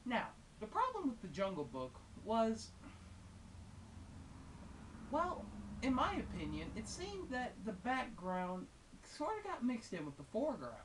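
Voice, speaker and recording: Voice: medium pitch, huge pitch swings, measured pace, pauses often, fairly bright tone. A female-sounding game reviewer giving casual, unscripted and opinionated commentary in a conversational way with wry asides. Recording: hissy, distant microphone.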